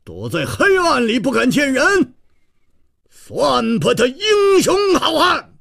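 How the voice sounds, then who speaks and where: A man's voice: very high voice, huge pitch swings, slow pace, vocal fry, pitched above the performer's own voice, heavily acted. A man voicing a hot-blooded, stubborn martial-arts hero who bellows stern challenges in a wuxia audiobook. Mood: defiant challenge. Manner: stern, defiant shouting. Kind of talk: Chinese wuxia audiobook storytelling.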